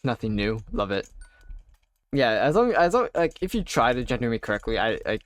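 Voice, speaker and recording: A young adult man telling a personal story while playing a game, halting and wry, full of fillers and restarts. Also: medium pitch, very animated, dry recording. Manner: wry, self-deprecating. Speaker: gaming YouTuber. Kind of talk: casual storytelling over gameplay.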